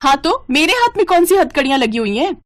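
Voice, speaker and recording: A woman voicing a bratty, defiant young sister-in-law in a story, with a shrill, taunting manner. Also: sing-song, quick pace. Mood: furious, taunting.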